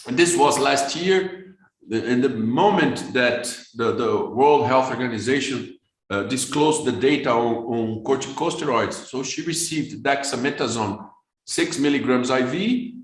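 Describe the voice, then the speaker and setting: Brazilian man lecturing in an echoey room. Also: mid-range voice. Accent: Brazilian Portuguese. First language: Portuguese. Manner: fast, repeats words when restarting. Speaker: vascular surgeon lecturer. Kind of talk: webinar lecture.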